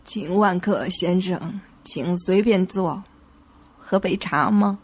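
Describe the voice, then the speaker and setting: A woman's voice: fairly low pitch, slight background noise. An actress playing a frail, courteous, composed woman of seventy-five in a radio drama. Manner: slow, composed, genteel. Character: frail, courteous 75-year-old bereaved sister.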